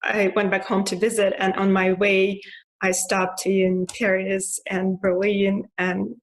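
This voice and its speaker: A young woman from Russia with a Russian accent, talking casually about her life, with frequent fillers, restarts and soft laughs. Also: medium pitch, level tone, measured pace, low-quality recording. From Russia (Moscow). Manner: hesitant, many fillers and restarts; laughs softly now and then. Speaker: interviewee. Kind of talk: casual personal monologue.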